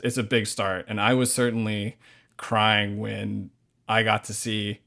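A man's voice: on the low side, level tone, a little slow, gravelly, sharp tone. An American man from Hawaii giving earnest, reflective interview answers in conversation, searching for his words as he goes. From Hawaii, United States. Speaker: podcast guest, novelist.

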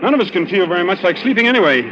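High-voiced courteous commander, old radio thriller. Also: male voice, animated, racing, background noise. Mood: calm, urgent. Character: cool, courteous, quick-witted military commander aboard a plane. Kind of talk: 1940s radio thriller acting. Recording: muffled old radio recording with music.

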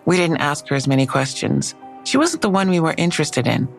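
An actress playing a skeptical police detective in an audio drama, dry and matter-of-fact, with a low, gravelly voice. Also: female voice, expressive, fairly quick, faint music behind. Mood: wary.

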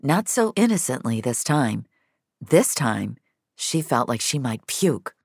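A woman's voice: rumbling low, big pitch swings, slow pace, vocal fry, slightly rough, dry recording, clean studio sound. A woman narrating scripted dramatic science-fiction audio fiction.

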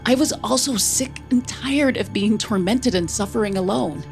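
A woman's voice: on the high side, normal speed, vocal fry, over music. A woman acting a frightened, grieving widowed mother in a naturalistic audio drama, weary and defensive. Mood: bitter, grieving.

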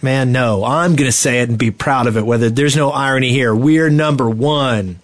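A man's voice: medium pitch, normal speed. A man co-hosting a podcast with casual joking banter, full of sarcastic ribbing and mock seriousness. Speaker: podcast co-host.

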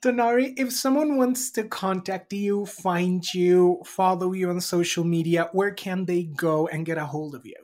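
A woman's voice: lowish voice, normal speed, few pauses. A woman hosting an interview, asking questions and answering with frequent affirmations and praise. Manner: warm affirming backchannels, gushing praise. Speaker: interview host. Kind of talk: casual interview chat.

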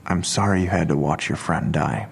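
An actor playing a courteous, considerate police detective with a gravelly, measured delivery in an audio drama. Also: male voice, low voice, full character voice. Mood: concerned.

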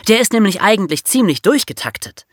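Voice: medium pitch, lively intonation, brisk, fairly bright tone, no room sound, studio quality. A podcast co-host, explaining things in an informal, conversational way.

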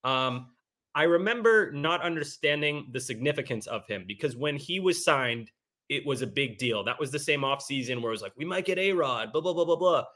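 20-something guy on a sports pod, hyped and jokey, stumbles over words, bright voice. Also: medium pitch, brisk. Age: 20s. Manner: excitable, jokey, stammering restarts. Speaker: podcast co-host. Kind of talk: casual sports podcast chat.

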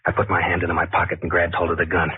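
An actor playing a nervous, obsessive killer who confides in a rambling, conversational way, in the manner of 1940s radio suspense narration. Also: male voice, deep voice, monotone, rapid-fire, breathy edge, dry recording. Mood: hushed, deliberate. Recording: scratchy, tinny 1940s radio recording.

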